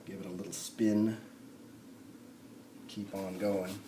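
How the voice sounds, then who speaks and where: A man thinking aloud in an unhurried way as he gives a casual hands-on tutorial at a workbench. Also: deep voice, level tone. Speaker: hobbyist demonstrating a restoration. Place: indoor home workshop. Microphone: camera on the workbench, speaker off-screen. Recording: noisy camera audio, background noise.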